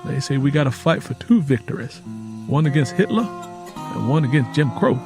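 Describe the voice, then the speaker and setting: A man's voice: medium pitch, animated, normal speed, over music, lightly acted. Audiobook role, male narrator: aggrieved young man, plain speech, earnest questioning, mid-range voice. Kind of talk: audiobook character acting.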